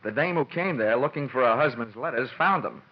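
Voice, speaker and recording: A man's voice: mid-range voice, animated, a little fast, husky. A man playing a wry, world-weary insurance investigator in a classic radio detective drama, with dry, slangy delivery. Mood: dry, assured. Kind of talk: classic radio detective drama acting, hard-boiled narration. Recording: muffled old radio recording with music.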